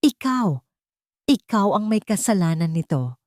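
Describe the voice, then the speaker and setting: A woman voicing a scheming, furious younger Filipino woman villain who shouts accusations in a storybook drama. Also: medium pitch, very animated, a little slow, dry recording, studio quality. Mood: raging.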